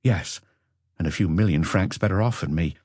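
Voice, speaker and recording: A man's voice: low voice, normal speed, a little mellow, no room sound, clean studio sound. A man voicing a weary businessman under police questioning, earnest, wordy and self-justifying, in an audiobook. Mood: defensive.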